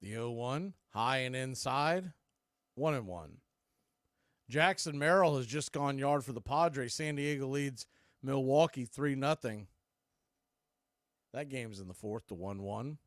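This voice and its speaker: A man's voice: medium pitch, expressive, a little slow, pauses often, no echo, studio quality. A man calling live baseball play-by-play for radio in clipped, punchy phrases. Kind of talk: live radio sports commentary.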